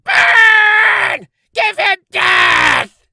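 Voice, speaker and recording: A man acts out a volatile male witness from a courtroom game. He is panicky and stammering, his pitch leaping about. The recording is dry and close. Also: huge pitch swings, drawn-out words, creaky, pushed and bright, dry recording, raised voice, exaggerated voice. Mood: screaming rage. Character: cornered, stammering male witness turning to rage. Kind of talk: character voice reel, game acting.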